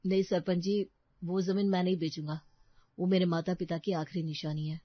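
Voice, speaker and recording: A woman playing a naive, gentle young male student in a cartoon story, hesitant, earnest and deferential. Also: fairly low pitch, little pitch movement, moderate pace, no echo, highs cut off, natural voice. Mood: politely firm.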